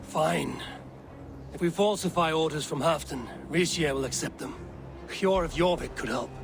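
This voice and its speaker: A blunt Viking raider. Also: male voice, on the high side, normal speed, gravelly, music in the background. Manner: blunt, firm.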